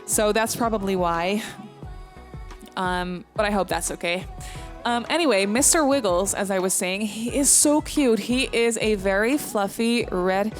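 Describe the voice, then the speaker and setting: A young woman with a Dutch accent, chatting casually over a game build, with frequent ums and soft laughs. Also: mid-range voice, measured pace, soft background music. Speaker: Sims builder and YouTuber.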